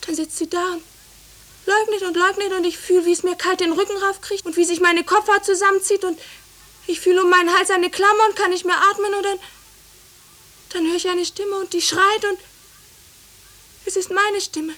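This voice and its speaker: Very high-voiced servant girl, breathless, zealous and self-important, vintage radio drama. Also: fairly even intonation, normal speed, pauses often, fairly bright tone. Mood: agitated, haunted. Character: excitable teenage servant girl in a witch hunt. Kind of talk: 1950s German radio play acting.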